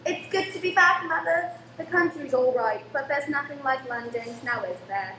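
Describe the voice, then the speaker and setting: A woman's voice: high-pitched, normal speed, sharp tone. A woman playing a cheerful, outspoken young daughter in a radio play, spirited and a little defiant. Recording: echoey room with faint noise.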